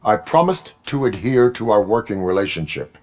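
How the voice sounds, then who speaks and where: Game casting: low-voiced cyborg lawman, stoic, formal, clipped and deliberate delivery. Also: very animated. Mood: calm, flat. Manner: deliberate, clipped, formal speech without contractions. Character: stoic male cyborg police officer, slow and formal. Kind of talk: video game voice acting.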